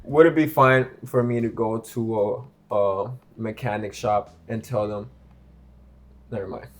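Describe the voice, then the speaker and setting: A man's voice: fairly low pitch, steady tone, unhurried. A young man hosting a casual vlog, boasting playfully while doing makeup blindfolded. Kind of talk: casual joking vlog chat.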